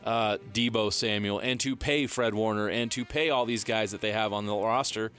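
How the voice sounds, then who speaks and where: A man's voice: on the low side, steady tone, normal speed, a bit bright, faint music behind. An American man co-hosting a sports show, giving blunt, opinionated takes in casual banter. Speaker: sports talk show co-host.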